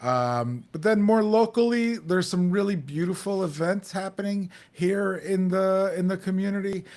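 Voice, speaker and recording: Earnest halting man in casual talk. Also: sits high, a little mellow. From United States. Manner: halting, many restarts and fillers, earnest. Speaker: podcast co-host. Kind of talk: casual community talk show chat.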